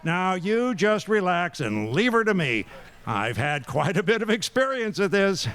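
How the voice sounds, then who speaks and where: A man's voice: high-pitched, very animated, measured pace, a bit bright, full character voice. A man playing a flustered, hapless young fiancé in a comic radio play, stammering and sputtering as he blunders along. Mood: nervous, eager.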